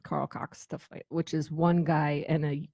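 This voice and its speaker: A woman talking casually as an interview guest, telling anecdotes in an animated, conversational way and acting out bits of dialogue. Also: deep voice, measured pace, no echo, studio quality. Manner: animated storytelling, quotes imagined dialogue. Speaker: radio show guest, former tour manager.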